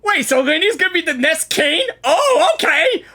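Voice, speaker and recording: A woman reacting to a video game, gushing excitedly and talking to herself. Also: extremely high pitch, normal speed. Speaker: gaming reaction streamer. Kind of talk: casual reaction commentary. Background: game audio with music.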